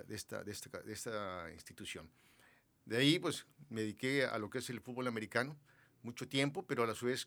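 A man's voice: fairly low pitch, fairly bright tone, no room sound. A man in his sixties, from Mexico City, talking as a guest in a casual interview with a rambling, loose delivery. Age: 60s. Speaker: guest, businessman.

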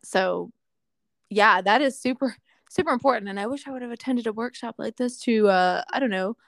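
A woman's voice: a little high, normal speed, gravelly, a bit bright, no echo, studio quality. A woman in her late thirties chatting openly and with self-deprecating humor, with lots of fillers. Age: 30s. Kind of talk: casual chat.

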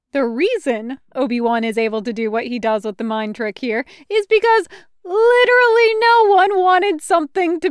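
A woman reading fan fiction aloud as an expressive storyteller. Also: high voice, dry recording, studio quality. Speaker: fan fiction podcast reader.

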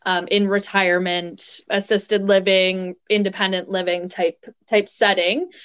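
Clear-voiced woman podcast host. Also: medium pitch, flat delivery, a little slow, a bit bright. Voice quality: asks long, winding questions. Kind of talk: interview questions.

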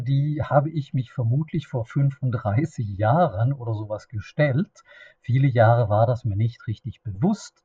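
A middle-aged man with a Swedish accent talks slowly and haltingly, restarting sentences as he searches for words. His voice is mellow and a little husky. The recording is dry and somewhat dull, with no room sound. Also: mid-range voice, no room sound. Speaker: podcast host. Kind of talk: unscripted solo podcast monologue.

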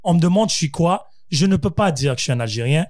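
A man casually debating identity on a podcast, animated and mixing English words into his French. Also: fairly high pitch, fast talker, no echo, studio quality. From born in the United States, raised in several countries. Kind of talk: casual discussion.

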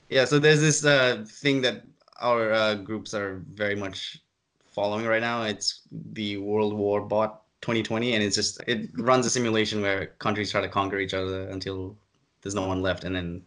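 A man's voice: fairly low pitch, little pitch movement, moderate pace, fairly bright tone. A man hosting a casual podcast, explaining things in a rambling, exasperated way. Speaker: podcast host.

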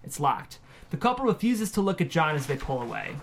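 A man's voice: on the high side, big pitch swings, a little fast, vocal fry, a little hiss or hum. A man reading scripted stage directions as the narrator of a screenplay table read.

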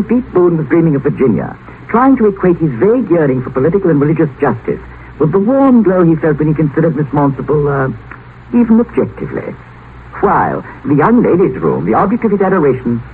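An actor playing a wry, literary storyteller, narrating dramatically in a 1950s radio play. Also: male voice, on the high side, a little hiss or hum. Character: wry, literary male storyteller setting gothic scenes. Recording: tinny old radio broadcast with music.

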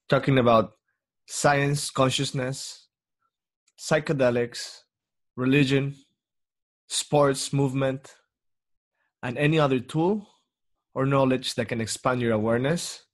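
A man's voice: medium pitch, fairly even intonation. Reflective young man hosting a podcast. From Mexico. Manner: slow, reflective, with many pauses and ums. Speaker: podcast host. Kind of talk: solo podcast monologue.

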